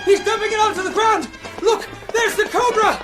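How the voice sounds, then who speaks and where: An actor playing a sharp-tongued, needling adventurer teammate in an old-time radio serial. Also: male voice, very high-pitched, normal speed, over music. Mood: alarmed.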